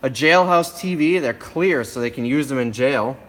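A man filming on his phone indoors, casually listing items from a storage unit in a quick, offhand way. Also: mid-range voice. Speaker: reseller showing a storage unit haul. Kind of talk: casual walkthrough narration. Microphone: handheld phone camera.

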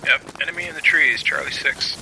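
A man's voice: on the high side, brisk, background noise. A man playing a team shooter, making tactical callouts over game voice chat. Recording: game voice chat, loud gunfire and clicks. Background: gunfire and vehicle sounds.

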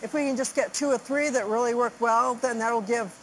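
A woman talking in an interview, giving a measured explanation. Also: a little high, a little hiss or hum, poor audio. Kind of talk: interview soundbite.

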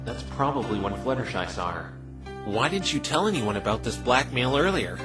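A man voicing an earnest, bemused defense lawyer in a fan dub, asking measured questions with dry puzzlement. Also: medium pitch, animated, lightly acted. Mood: earnest, puzzled. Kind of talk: fan dub voice acting of a visual novel. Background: music.

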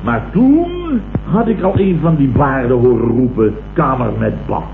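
Pompous boss, wildly animated. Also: male voice, mid-range voice, a little slow, vocal fry. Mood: smug, boastful. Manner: pompous, self-satisfied, repeats himself. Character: pompous, blustering male company boss, vain and excitable. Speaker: radio comedy actor. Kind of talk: 1960s Dutch radio comedy acting. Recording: muffled, hissy, echoey vintage radio recording.

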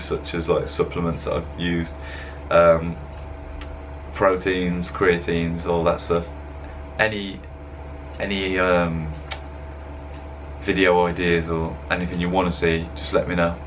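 A young man with a very deep voice chats in a loose, rambling way, full of fillers. He talks into a desk webcam, and a faint background hiss sits under his voice. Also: poor audio. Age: young adult. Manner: rambling, laid-back, chatty with fillers. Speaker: fitness vlogger. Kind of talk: casual voice-over vlog. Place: indoor room, voice-over over gym footage.

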